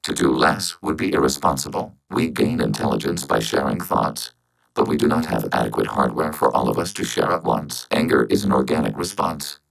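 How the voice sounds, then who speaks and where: A man voicing a calm, logical video game robot who speaks for a machine collective, with measured, clinical delivery and synthetic processing. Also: very deep voice, gravelly, exaggerated voice, poor audio. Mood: detached, analytical. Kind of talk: scripted science fiction video game dialogue.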